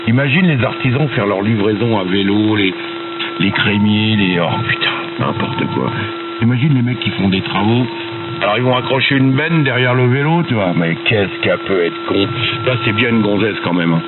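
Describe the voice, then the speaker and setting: Male ham radio operator, lowish voice, blunt slangy delivery, narrow tinny radio signal. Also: moderate pace. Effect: amateur radio transmission. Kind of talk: casual radio chat. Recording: noisy, phone-like ham radio signal with hum. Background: radio static and hum.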